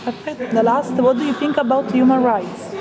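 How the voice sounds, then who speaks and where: A woman's voice: high-pitched, steady tone, moderate pace. A woman interviewer putting short, simple questions to her guests. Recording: clear crowd chatter behind.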